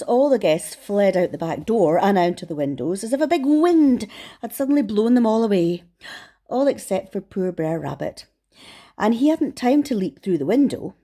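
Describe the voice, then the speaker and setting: Mellow, very animated female storyteller. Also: mid-range voice. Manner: affectionate, addresses young listeners directly. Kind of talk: expressive children's story reading.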